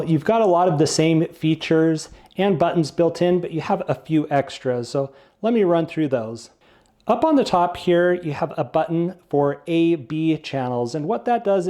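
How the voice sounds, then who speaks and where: A man's voice: on the high side, dark tone. A man giving a casual product review, explaining things plainly, step by step.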